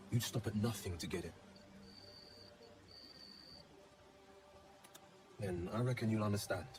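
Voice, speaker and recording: An actor voicing an earnest, haunted heroic warlock telling a tale in a brooding way, in a video game. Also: male voice, lowish voice, lightly acted. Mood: bitter, brooding. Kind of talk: dramatic video game monologue.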